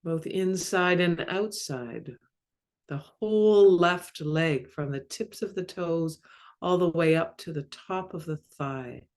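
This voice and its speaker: A woman leading a guided meditation as a mindfulness coach, speaking calmly and measuredly in short phrases with long pauses. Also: lowish voice. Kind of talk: guided body scan meditation.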